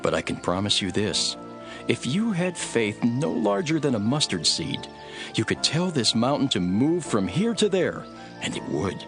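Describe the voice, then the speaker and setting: Earnest commanding holy teacher, lowish lively voice, bible drama, music under. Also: male voice, unhurried. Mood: calm, instructing. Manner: authoritative, earnest. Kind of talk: dramatised scripture audio.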